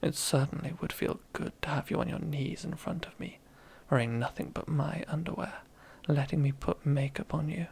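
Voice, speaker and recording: A woman's voice: deep voice, steady tone, normal speed, creaky, hardly any pauses, darkish tone, breathy edge, exaggerated voice. A woman playing a domineering, teasing female boss in an ASMR roleplay, half-whispering in a seductive, commanding way. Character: domineering, teasing female boss relishing power over old rival.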